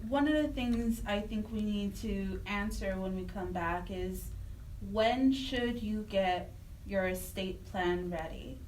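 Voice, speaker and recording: Female talk radio co-host, mid-pitched, presenting to listeners, a little distant with background noise. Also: slow, a little mellow. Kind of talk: radio hosting, segment wrap-up.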